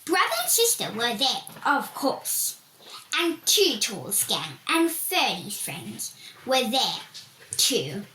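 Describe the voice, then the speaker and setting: A woman reading a children's storybook aloud in a deliberate, expressive storytelling manner, with emphatic swoops. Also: a little high. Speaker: volunteer story reader. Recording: echoey room with background hiss.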